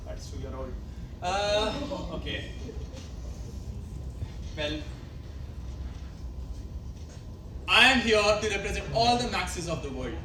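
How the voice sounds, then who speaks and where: A brash, stubborn former pupil with an Indian accent, acted by a young woman. The delivery is insistent and slangy amateur stage comedy. It sounds distant and echoey, with clear noise in the hall. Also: mid-range voice, animated, a little slow, pauses often, close to an everyday voice. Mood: cocky. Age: young adult. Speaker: student actor in a college play. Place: live college stage, picked up from afar.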